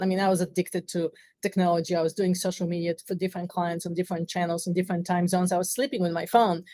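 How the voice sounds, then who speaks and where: A woman's voice: lowish voice, a little mellow, slight background noise. A middle-aged woman from Bulgaria with a Bulgarian accent, telling her story earnestly and reflectively as a podcast guest. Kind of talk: interview conversation.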